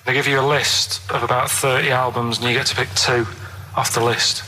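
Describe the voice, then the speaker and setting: A man's voice: medium pitch, level tone, a little fast, bright, forceful tone, slight background noise, low-quality recording. A man chatting casually as a radio co-host, downbeat, grumpy and matter-of-fact.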